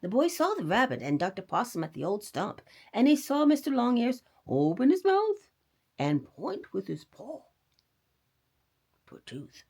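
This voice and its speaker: A woman reading a children's story aloud, dramatic and expressive, dropping at times into a hush. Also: on the high side, a little slow, slightly rough.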